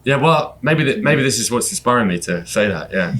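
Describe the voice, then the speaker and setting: A man, a guest performer, musing out loud in casual podcast chat, filling his talk with "you know". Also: medium pitch, expressive, a little fast. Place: outdoors on a riverboat.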